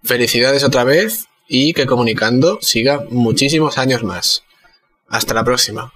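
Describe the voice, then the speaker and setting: A man's voice: medium pitch, moderate pace, a bit bright, slightly rough. A man leaving a chatty, rambling congratulations message for a podcast. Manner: chatty, self-aware rambling. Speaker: listener sending congratulations. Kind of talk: casual recorded message.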